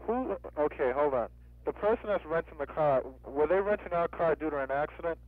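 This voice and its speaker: A man's voice: fairly high pitch, expressive, fairly quick, dry recording. A man working as a rental agent on a customer service phone call, insistent and questioning, often repeating himself. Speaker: car rental office agent. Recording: tinny, narrow-band phone line.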